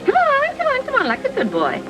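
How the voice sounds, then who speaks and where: An actress playing a playful, cheeky young accomplice, with a coy, teasing delivery in old radio noir style. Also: female voice, very high-pitched, wildly swooping pitch, a little fast, over loud music, exaggerated voice.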